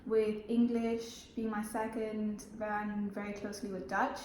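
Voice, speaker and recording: A young woman from Belgium talking casually in an interview, relaxed and matter-of-fact, with frequent fillers. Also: medium pitch, a little slow, roomy sound, slight background noise, rough recording. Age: young adult.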